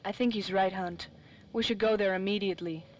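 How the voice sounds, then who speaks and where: An actress playing a plucky, slangy nightclub dame in the style of old-time radio drama. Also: female voice, medium pitch, steady tone, fairly quick, darkish tone, no echo, natural voice. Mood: earnest.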